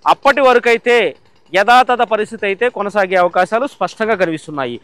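Higher-pitched male news reporter. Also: brisk, a bit bright, clear, resonant tone, dry recording. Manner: explanatory, with frequent fillers and restarts.

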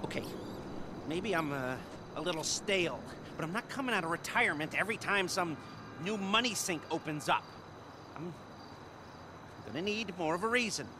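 A whiny, sarcastic retired hacker. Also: male voice, sits high, expressive, noisy background. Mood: grudging. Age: middle-aged. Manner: whiny, sarcastic, quick to complain. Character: grumpy middle-aged male hacker, sarcastic and nervy. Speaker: retired heist planner.

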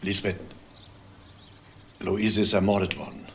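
A man's voice: deep voice, flat delivery, measured pace, pushed and bright, reverberant, full character voice. Reserved hesitant man, distant mic. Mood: subdued, shaken. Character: reserved, hesitant married man, modest brother-in-law. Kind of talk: screen crime drama dialogue. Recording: distant mic, noisy background.

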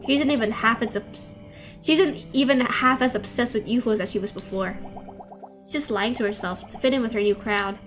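A woman's voice: fairly high pitch, brisk. A young woman livestreaming, casually reading visual novel dialogue aloud with stumbles, rereads and offhand asides. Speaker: game streamer. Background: game music.

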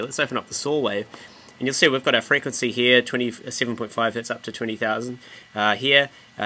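A man with a New Zealand accent and a bright, forward voice presents an informal software tutorial. He explains each step casually in everyday slang, at a middling pitch. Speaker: tutorial presenter and music producer.

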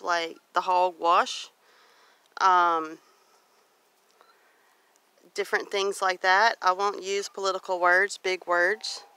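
A woman's voice: on the low side, bright, forceful tone, smooth, no echo. A middle-aged woman talking casually in a plain-spoken, folksy way, repeating phrases for emphasis as she walks and talks. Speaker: homestead vlogger. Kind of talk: casual walk-and-talk monologue. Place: outdoors on a grassy homestead field. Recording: noisy outdoor recording with geese honking.

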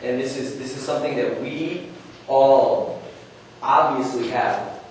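A man's voice: mid-range voice, fairly even intonation, a little slow. A young man giving a conference workshop talk to an audience, earnest and conversational, asking questions and using casual fillers. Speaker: workshop presenter. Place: conference room, speaking to an audience. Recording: echoey room, distant mic, faint noise.

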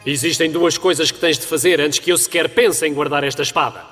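A man's voice: on the high side, fairly quick, a bit bright, big-room sound, soft background music. A man voicing a stern, heroic warrior captain in a European Portuguese cartoon dub, speaking with declamatory authority. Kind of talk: dubbed cartoon acting.

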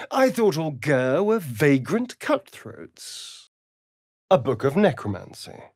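A man's voice: a little high, no room sound, clean studio sound, heavily acted. A man playing a sardonic, vain vampire spawn in a fantasy video game, with an arch, theatrical drawl.

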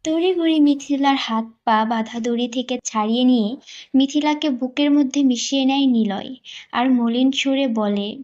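A woman's voice: sits high, moderate pace, a little mellow, highs cut off. A woman narrator reading a scripted romantic story.

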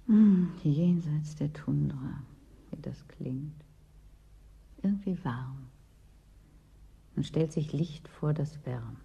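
A woman's voice: low voice, expressive, slow, gravelly, long pauses, husky, lo-fi sound, full character voice. An actress in a German radio play, playing a weary, plaintive woman who is always cold and broods on her memories. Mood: wistful, brooding. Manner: brooding, plaintive, lapsing into reminiscence. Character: weary, always-freezing woman property owner, plaintive and wistful.

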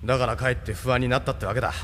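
A man's voice: medium pitch, fairly even intonation, racing, a bit bright, natural voice. A man playing a wry, loyal ship captain in a Japanese audio drama, frank and familiar. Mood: reassuring. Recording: long hall reverb, faint noise.

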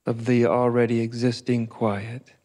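A male meditation teacher guides listeners with a soft, relaxed tone. His pitch stays very even, and he moves slowly through short phrases, leaving long, unhurried pauses between them.

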